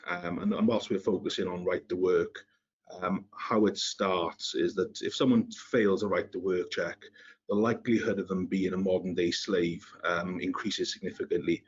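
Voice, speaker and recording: A man with a Welsh accent presents in a business webinar, his voice very low and a bit husky. He hesitates often, filling gaps with ums and restarts. The sound is muffled, as from an online call. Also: steady tone, moderate pace. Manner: hesitant, many fillers and restarts. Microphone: video call.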